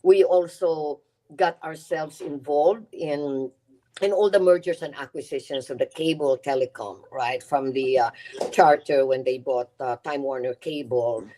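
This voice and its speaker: Woman, middle-aged, Filipino accent, low voice, earnest, repeats words, podcast guest. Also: measured pace, warm tone, poor audio. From Philippines. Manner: earnest, repeats words while building her point. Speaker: guest, nonprofit CEO. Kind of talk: podcast interview.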